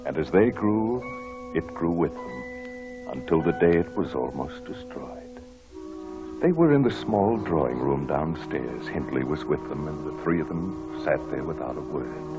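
An actor playing a brooding old gentleman who narrates his memories in a 1940s radio drama. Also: a bass voice, big pitch swings, measured pace, exaggerated voice. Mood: brooding, wistful. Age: older. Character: haunted, brooding old gentleman recalling the past. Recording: old radio broadcast with organ music.

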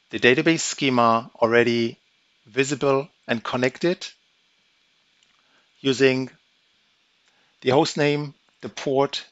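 A man giving a methodical, step-by-step walkthrough of a software demo, explaining each action as he goes. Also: mid-range voice, no echo. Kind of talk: screen-recorded software walkthrough. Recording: dry, slightly dull audio.

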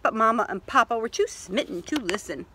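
Middle aged lady doing storytime outside, very up and down voice, bright, bit of noise. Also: a little high, fairly quick. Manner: expressive, asks listeners questions. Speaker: library story reader. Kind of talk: children's picture-book read-aloud. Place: outdoors in a leafy yard.

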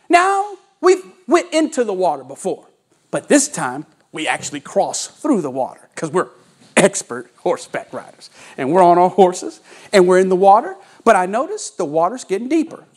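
Man, 40s, motivational sales coach, preachy storytelling with dramatic pauses, lapel mic, office training room. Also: sits high. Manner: walks about and gestures while speaking.

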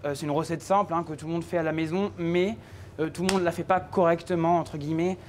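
A young man from Normandy, a culinary student explaining a recipe on a live cooking show in a teaching kitchen, chatting with many filler pauses. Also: a little high, fairly even intonation, a little hiss or hum. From Normandy, France. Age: young adult. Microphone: handheld mic.

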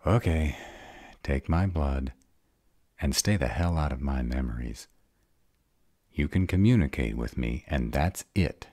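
A man's voice: a bass voice, a little mellow, husky, dry recording, studio quality, heavily acted. A man playing a sarcastic, guarded grown vampire who is insecure about love, in an audio roleplay. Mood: defensive, wry. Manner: sarcastic, wry.

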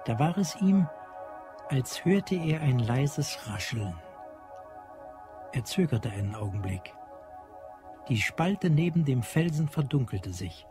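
A man narrating a German radio play as literary storyteller, solemn and measured. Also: medium pitch.

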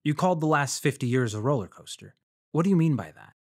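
A man playing a polite, curious freelance journalist in a scripted audio drama, telling his story in a measured way. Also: a little high, animated, darkish tone, no room sound, clean studio sound. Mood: politely curious. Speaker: narrator and interviewer. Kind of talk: scripted first-person audio drama narration.

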